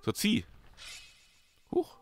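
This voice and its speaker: A young German man commenting casually on his gameplay as a let's play host, his voice still hoarse from a cold, jumping between loud bursts and low mutters. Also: drawn-out words.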